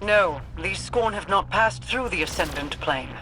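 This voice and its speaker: A woman playing a regal, cryptic and imperious otherworldly queen in a video game, speaking in measured, formal, oracular phrases. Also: lowish voice, animated, background noise. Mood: cold, ominous. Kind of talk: scripted video game dialogue.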